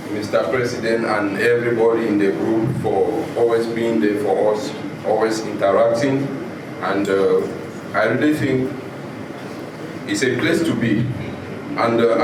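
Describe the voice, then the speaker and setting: A man working as a safety officer gives an earnest, thankful speech to a gathering, telling how he got his job. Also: lowish voice. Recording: echoey far-off room recording, noisy.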